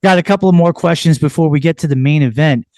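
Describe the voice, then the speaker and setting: Podcast host with halting questions. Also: male voice, on the high side, darkish tone, no echo, clean studio sound. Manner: brief affirming replies, halting questions. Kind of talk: casual interview.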